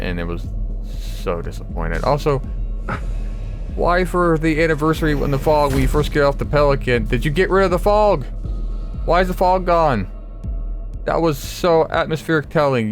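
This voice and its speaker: A man giving casual, blunt and opinionated game commentary, swearing freely and wandering into asides. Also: lowish voice. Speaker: gaming let's player. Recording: loud game music behind the voice. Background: game music.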